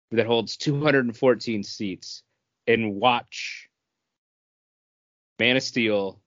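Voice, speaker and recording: Male co-host, unhurried delivery, mid-pitched, dry and slightly dull podcast recording. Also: fairly bright tone, no room sound. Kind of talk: casual pop-culture chat.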